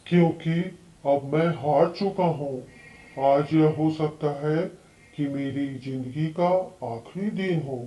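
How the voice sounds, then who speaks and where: A man's voice: a little high, mellow. A man playing a male cartoon frog in mortal danger, in story dialogue with a roomy echo.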